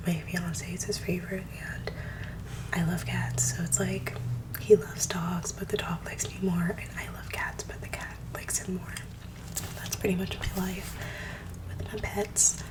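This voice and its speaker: A woman whispers close and low, her voice carrying a grainy throat scratch and little rise or fall in pitch. She talks fairly quickly and sometimes stumbles on tricky words. The mic sits back from her, with a steady hiss in the background. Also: far from the mic. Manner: quick talker who stumbles on hard words. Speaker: ASMR creator. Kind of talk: soft-spoken ASMR reading and rambling. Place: at home.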